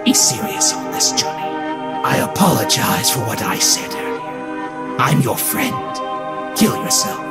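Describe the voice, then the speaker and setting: A man's voice: medium pitch, sing-song, unhurried. A man voicing a gloomy, scornful doughboy figurine in a comic dub, pompous and theatrical in his contempt. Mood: coaxing gloom. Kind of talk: dramatic comic-book dub. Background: loud music under the voice.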